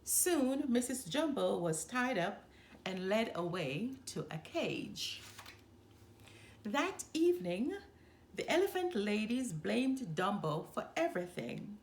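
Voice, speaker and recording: Slow, highly animated woman storyteller. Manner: deliberate, expressive delivery. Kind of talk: scripted children's storybook read-aloud.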